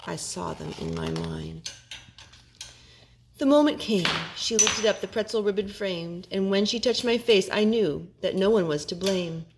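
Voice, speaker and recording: A woman hosting storytime for children, reading in an expressive storybook style. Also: medium pitch, unhurried, creaky, background noise. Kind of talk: expressive rhyming storybook narration. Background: music.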